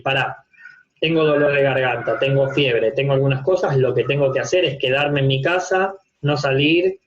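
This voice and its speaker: A man with an Argentine accent giving an informal online university lecture from a home office, explaining step by step with everyday examples and rhetorical questions. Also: medium pitch. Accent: Argentine Spanish. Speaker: university psychopathology teacher. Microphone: video call. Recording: dull, lo-fi video-call audio.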